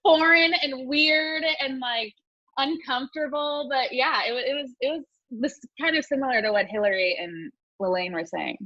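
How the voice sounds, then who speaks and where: A woman's voice: sits high, moderate pace. A woman, a former child actor, chatting casually at a reunion over a lo-fi video call. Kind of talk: casual chat. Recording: dull lo-fi video call audio.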